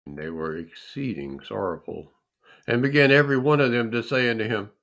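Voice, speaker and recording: A man giving a solemn, deliberate reading of scripture, with dramatic emphasis on the phrases. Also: medium pitch, lively intonation, gravelly, fairly bright tone. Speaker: scripture reader.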